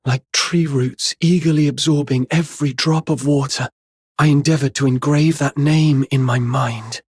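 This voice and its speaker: An earnest young hero who seems lost acted by a man in a video game story. His voice is very husky and breathy, mid-pitched, and recorded close and dry. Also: fairly even intonation, normal speed, dry recording, lightly acted. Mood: reflective. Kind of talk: video game dramatic acting.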